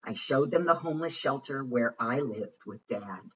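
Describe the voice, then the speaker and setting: A man giving an expressive read-aloud of a rhyming children's picture book, with deliberate, dramatic phrasing. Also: medium pitch, husky. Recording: muffled, distant microphone.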